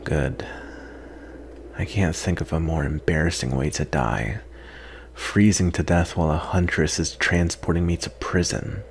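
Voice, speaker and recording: A man acting out a proud, sardonic captive vampire in a soft-spoken, disdainful ASMR roleplay. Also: very deep voice, unhurried, gravelly, slight background noise, full character voice. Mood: grudging. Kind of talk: ASMR roleplay, acted monologue.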